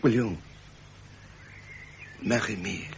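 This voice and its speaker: An actor playing a young, tender, self-doubting prince in a 1970s British radio drama, with an upper-class English accent. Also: male voice, on the low side, slow, background noise. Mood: tender, earnest.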